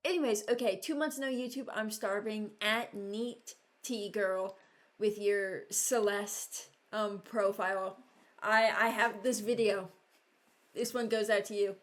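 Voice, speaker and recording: A woman's voice: mid-range voice, fairly even intonation, a little slow. Young woman rambling casually to viewers. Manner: rambling, hesitant, thinking aloud. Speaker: video creator. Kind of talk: casual reaction chat.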